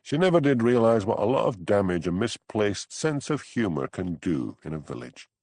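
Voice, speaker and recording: A man playing a dry, stubborn village policeman with a Scottish Highland accent, in an audiobook. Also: big pitch swings, normal speed, a little mellow, dry recording. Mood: resigned. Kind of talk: audiobook character dialogue.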